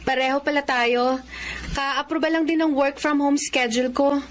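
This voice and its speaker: A woman's voice: high-pitched, fairly even intonation, moderate pace, slight background noise, lightly acted. A woman playing an earnest, kind young woman who talks eagerly and politely. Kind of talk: radio drama acting.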